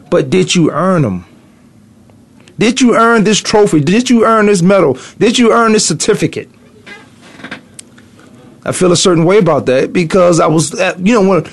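Brief: middle-aged male sports radio host, slightly high voice, loose rambling delivery, studio mic. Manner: loose, rambling, thinking aloud with repeats. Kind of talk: morning sports talk radio monologue.

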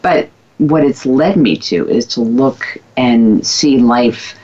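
Outspoken woman guest, husky low voice, emphatic and candid, muffled lo-fi recording. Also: slow. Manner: candid, emphatic, self-described loudmouth. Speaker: guest, former physical therapist. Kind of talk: casual conversation. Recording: dull lo-fi recording.

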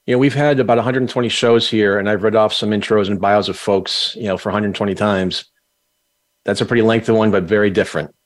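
A man's voice: on the low side, steady tone, fast talker. A man hosting an interview podcast, reading a formal guest introduction and asking questions steadily. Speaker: podcast host.

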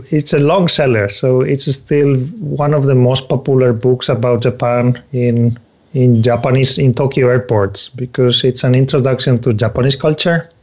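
Casting note: man in his thirties, from Spain, native Spanish speaker, halting author guest, dull lo-fi audio. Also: mid-range voice, darkish tone. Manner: halting, searching for words. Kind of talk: casual interview conversation.

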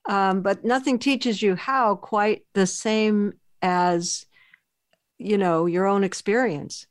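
A woman's voice: mid-range voice, takes it slowly. A woman interviewer with a mid-range voice who asks thoughtful, probing questions. She often closes her sentences with tag questions such as "right?" and "don't they?". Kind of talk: conversational interview.

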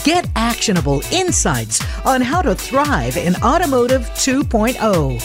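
A woman's voice: fairly high pitch, sing-song, unhurried. A woman announcer reading a scripted, upbeat podcast introduction. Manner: upbeat promotional delivery. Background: music.

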